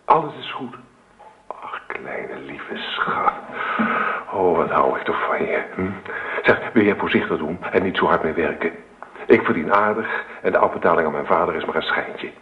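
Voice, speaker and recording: An actor playing an eager young Dutch husband in a radio play, stubborn, dreamy and tender. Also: male voice, deep voice, expressive, normal speed. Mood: delighted, tender. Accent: Netherlands Dutch. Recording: roomy, tinny phone-like radio recording.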